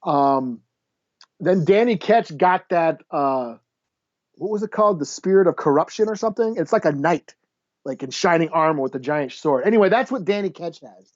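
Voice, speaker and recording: A man co-hosting a podcast, chatting through a recap in a halting, offhand, mildly profane way. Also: on the high side, expressive. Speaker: podcast co-host reviewing comic books. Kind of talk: casual chat recap. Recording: dull, lo-fi audio.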